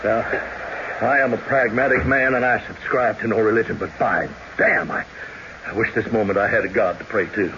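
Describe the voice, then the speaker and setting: A middle-aged country doctor in a radio western, humane and pragmatic. He speaks earnestly and haltingly in a lowish voice. The recording is dull and clearly noisy. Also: steady tone, pushed and bright. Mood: anguished. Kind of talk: radio drama acting.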